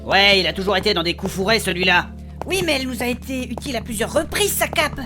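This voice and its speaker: A woman's voice: mid-range voice, fast talker, music in the background, natural voice. A woman playing a bossy, brash adventurer who leads her party in a comic fantasy audio drama, blunt and colloquial. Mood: casual.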